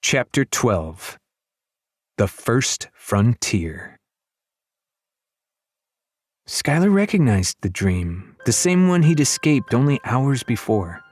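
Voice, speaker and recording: A man reading a children's fantasy novel as an audiobook narrator, in expressive, dramatic storytelling style. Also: medium pitch, takes it slowly, no room sound.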